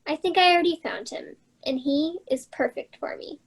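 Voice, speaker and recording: A woman playing a wry, quick-witted young heroine in a fairy-tale play reading, with a dry, teasing manner. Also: high voice, natural voice. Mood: kindly confident. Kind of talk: staged reading of children's play.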